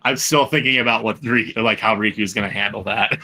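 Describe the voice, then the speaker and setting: Bright-voiced man thinking aloud. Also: lowish voice, fairly even intonation, a little fast. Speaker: podcast panelist and fan voice actor. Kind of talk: casual group podcast chat.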